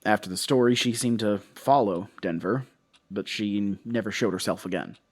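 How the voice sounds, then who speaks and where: An actor playing a troubled, defensive investigator in a horror audio drama, halting, rambling and confessional. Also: male voice, fairly low pitch. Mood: weary, confessional. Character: troubled, defensive male investigator recounting his case.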